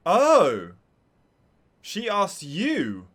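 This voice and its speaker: Casting: excitable male game streamer, highly animated pitch, slow-paced delivery, playful exclamations. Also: breathy edge. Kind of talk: live game reaction commentary.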